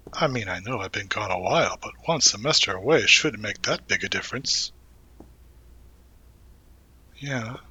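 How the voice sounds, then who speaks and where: A man's voice: mid-range voice, fairly bright tone, slightly rough, heavily acted. A man playing a caring, protective college student sibling in an intimate audio roleplay, casual and earnest. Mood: puzzled.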